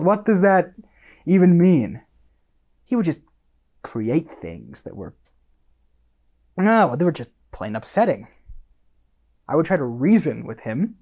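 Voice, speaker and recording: An actor performing a self-satisfied content creator, slow deliberate delivery, swooping pitch, condescending, preachy, theatrical radio monologue. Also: darkish tone.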